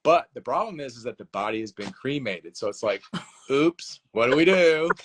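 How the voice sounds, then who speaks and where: A man with a mid-range voice chats about his novel in a relaxed, conversational way. He tells the story with energy, often putting on quick voices for the characters. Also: medium pitch, slight background noise. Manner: animated storyteller, acts out dialogue with laughs. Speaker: author guest. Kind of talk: casual interview chat.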